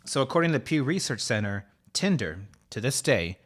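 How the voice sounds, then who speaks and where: A man's voice: mid-range voice, very animated, a little fast, fairly bright tone. Irreverent man in his thirties. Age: 30s. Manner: irreverent, joking, profane. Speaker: podcast co-host. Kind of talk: casual banter and commentary.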